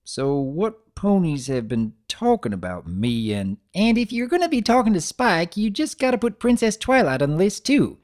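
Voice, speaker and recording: A young cartoon filly acted out by a narrator, pitched well up and very animated. She chatters in a folksy, eager way, like a keen little sister in a storybook. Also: normal speed, few pauses, close to an everyday voice. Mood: chatty, eager. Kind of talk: dramatic reading of fan fiction.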